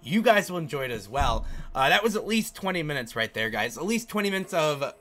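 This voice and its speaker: A man with a bright, fairly high voice chats casually through a let's play. He talks straight to his viewers, emphatic and repetitive, with his pitch moving around in a very lively way. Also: a little fast, a little hiss or hum. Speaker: gaming YouTuber.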